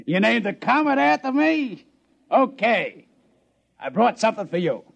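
An actor playing a brash, tough casino boss in a vintage radio drama, blunt and slangy. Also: male voice, very high voice, very animated, measured pace, gravelly, a bit bright, dry recording, exaggerated voice. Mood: delighted. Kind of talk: 1950s radio drama acting.

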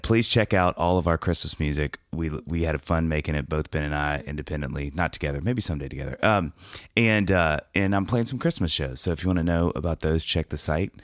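Deep-voiced man with a slight cold. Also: no room sound, clean studio sound. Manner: rambling, jokey, self-mocking asides. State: has a cold, slightly scratchy. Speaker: podcast host. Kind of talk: casual monologue intro.

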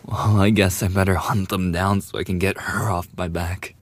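Low-voiced brash young delinquent bully, acted by a man. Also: little pitch movement, normal speed. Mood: irritated muttering. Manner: foul-mouthed, taunting, defensive talk to the listener. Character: young male delinquent bully, brash, defensive, secretly desperate. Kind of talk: boyfriend ASMR audio roleplay acting.

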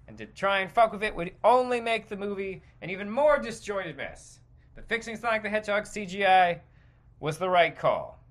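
Man, somewhat high voice, podcast host, casual opinion rant, halting rambling delivery, informal and sweary. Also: fairly bright tone.

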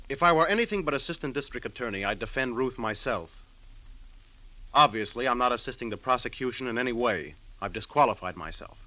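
Stern, bright-voiced male lawyer. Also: medium pitch, dry recording, rough recording. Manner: blunt, firm, clipped. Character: stern, blunt male assistant district attorney in love. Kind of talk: old-time radio acting.

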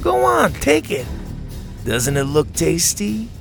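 Storybook villain, boy bully, done by a male narrator: raised pitch, mocking, coaxing, cruel. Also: wildly swooping pitch, drawn-out words, vocal fry, music in the background, exaggerated voice. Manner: mocking, sneering, falsely coaxing. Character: taunting, cruel boy bully, gang leader in a mask.

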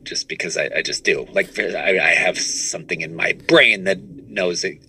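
A man talking casually as a comedian guest on a podcast, animated and often restarting his phrases. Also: sits low, moderate pace, breathy edge, rough recording. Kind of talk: casual conversational interview answers.

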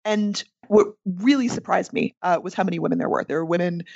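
A woman's voice: on the low side, fairly quick, gravelly, darkish tone, no echo, highs cut off. A woman political scientist answering interview questions in a candid, conversational way. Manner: candid, conversational, self-deprecating.

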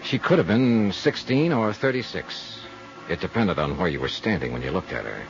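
An actor playing a wry, hard-boiled insurance investigator, narrating and talking in a clipped, dry way in a 1950s radio drama. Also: male voice, deep voice, very animated, moderate pace, dull audio. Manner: clipped, terse, dry delivery. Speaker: detective narrator and lead character. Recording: vintage 1950s radio recording with music.

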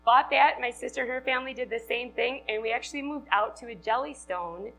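A woman's voice: a little high, a little fast, creaky, fairly bright tone, a little hiss or hum, rough recording. A woman in her thirties from Wisconsin with a Wisconsin accent, giving a chatty, upbeat talk to a live audience and laughing often. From Wisconsin, USA. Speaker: conference speaker.

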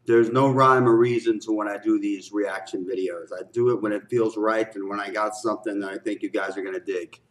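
A man's voice: on the low side, steady tone, a little fast, few pauses, poor audio. A man hosting a reaction video with casual, slangy commentary, often repeating phrases for emphasis.

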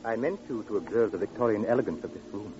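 An actor playing a pompous, unctuous funeral director in an old-time radio drama, with flowery, solemn, fussily genteel speech. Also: male voice, lowish voice, fast talker, lightly acted. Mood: smugly genteel. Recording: muffled vintage radio recording, faint noise.

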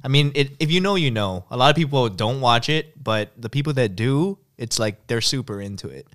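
A man's voice: mid-range voice, animated, brisk, a bit bright, clear, resonant tone. A man from Japan raised in America, co-hosting in a casual, rambling way with frequent laughs. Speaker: podcast co-host.

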